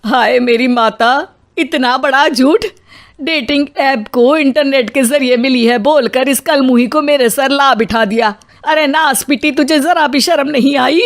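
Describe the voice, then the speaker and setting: A woman voicing a quarrelsome, taunting middle-aged Indian mother-in-law in an animated family drama. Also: sits high, a little slow. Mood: outraged. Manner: taunting, melodramatic complaining.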